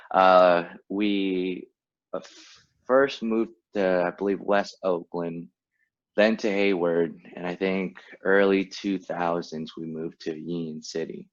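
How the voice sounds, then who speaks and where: A man in his late twenties, born in Vietnam and raised in California, co-hosting a podcast in casual chat, laid-back and quick to laugh. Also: low-pitched. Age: late 20s. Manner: laid-back, laughs often, lots of fillers. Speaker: podcast co-host. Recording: dull lo-fi sound.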